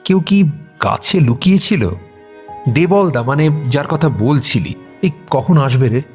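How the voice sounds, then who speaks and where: An actor playing a curious, pensive young amateur sleuth, musing in casual audio-drama dialogue with a husky voice. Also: male voice, fairly high pitch, lively intonation, unhurried, a little mellow. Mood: eager. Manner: musing, hesitant. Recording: music bed under voice.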